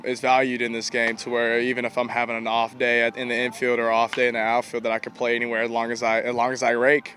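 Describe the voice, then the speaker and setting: Young man, college athlete interviewee. Average pitch, casual and halting, recorded outdoors with faint noise. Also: fairly bright tone. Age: young adult. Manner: informal, halting, repeats himself. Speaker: college baseball player being interviewed. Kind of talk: casual post-game style interview answers. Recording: clear outdoor ambient noise.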